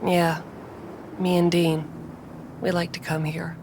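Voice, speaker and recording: An actress playing a tough, candid street racer and mechanic in a video game, casual and plain-spoken. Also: female voice, fairly low pitch, little pitch movement, slow pace, background noise. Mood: wistful, confiding. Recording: loud music and engines behind.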